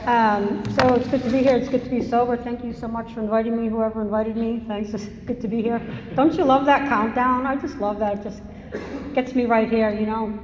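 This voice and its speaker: A woman's voice: fairly high pitch, fairly even intonation, brisk. An older woman from Massachusetts, with a Massachusetts accent, giving a candid, rambling personal testimony at a recovery meeting, with self-deprecating humor. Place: meeting hall. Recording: echoey hall, faint noise.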